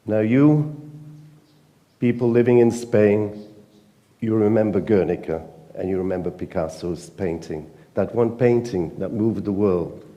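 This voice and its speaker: A man with a British accent, speaking earnestly and emphatically at a conference panel, pausing often with fillers. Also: lowish voice, long reverb tail, highs cut off. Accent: British English. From Britain. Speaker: panel speaker, newspaper editor. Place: conference hall.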